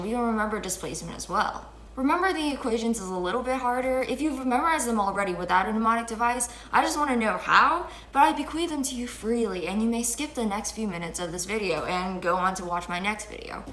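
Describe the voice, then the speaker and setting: A woman's voice: fairly high pitch, lively intonation, quick pace, few pauses, fairly bright tone, a little hiss or hum. A fifteen-year-old girl hosting an educational video, explaining physics in an upbeat, chatty, self-deprecating way while seated indoors in front of a fixed camera. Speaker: host. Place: indoor room with window blinds. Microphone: fixed camera at chest-up framing. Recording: echoey small room.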